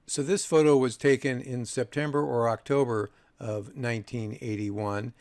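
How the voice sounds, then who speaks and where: An older man in his sixties narrates a photo slideshow in a measured, reminiscing manner. His voice sits at a middling pitch with a lot of gravelly fry. The recording is very dry, and his delivery carries understated humour. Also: no room sound. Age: 60s. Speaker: video host, audio reviewer.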